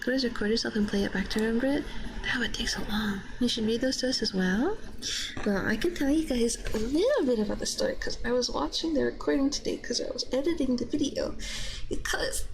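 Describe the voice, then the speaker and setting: A woman streamer chatting casually and hesitantly with her live viewers in a soft-spoken, giggly way. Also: fairly high pitch, animated, fairly quick, vocal fry, background noise. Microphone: binaural ASMR microphone.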